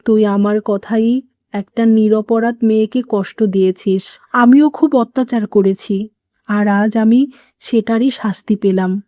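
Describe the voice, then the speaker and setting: Woman acting a mellow, sorrowful elderly mother. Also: medium pitch, steady tone, measured pace, no room sound, lightly acted. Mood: sorrowful, resigned. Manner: plaintive, self-blaming. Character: remorseful, wounded elderly Bengali mother-in-law. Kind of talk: cartoon moral story voice acting.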